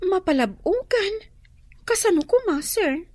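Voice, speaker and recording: A woman's voice: very high voice, expressive, a little slow, a little mellow, dry recording. A woman with a Filipino accent playing an inquisitive young woman in an Ilocano radio drama. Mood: puzzled, anxious.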